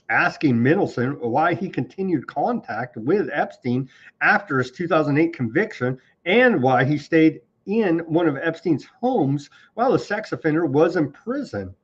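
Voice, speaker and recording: A man's voice: a little high, animated, measured pace, breathy edge, lo-fi sound. A man hosting a live online news commentary show, sarcastic and scornful as he rants through the headlines. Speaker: political commentary host.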